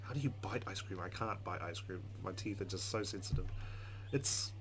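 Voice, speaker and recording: A man's voice: low-pitched, normal speed, a little hiss or hum. A man hosting a let's play, reading game narration expressively with casual, playful asides. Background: game music.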